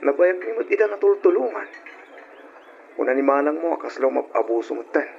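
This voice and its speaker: A man acting in an old radio drama as a patient, kind-hearted, placating husband with a creaky voice. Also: fairly high pitch, very animated, a little fast, exaggerated voice. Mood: earnest. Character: patient, kind-hearted husband who helps his relatives. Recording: muffled, noisy old radio drama recording.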